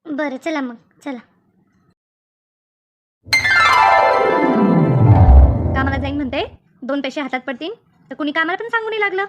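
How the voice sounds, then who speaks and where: A woman's voice: sits high, quick pace, muffled recording, loud music behind the voice, close to an everyday voice. A woman voicing a chatty village woman in a comic cartoon, with a rural Maharashtrian Marathi accent and colloquial, repetitive talk. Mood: wistful. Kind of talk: animated comedy dialogue.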